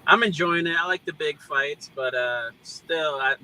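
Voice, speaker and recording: Bright-voiced easygoing American man. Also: fairly high pitch, measured pace, a little hiss or hum, rough recording. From United States. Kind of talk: casual chat.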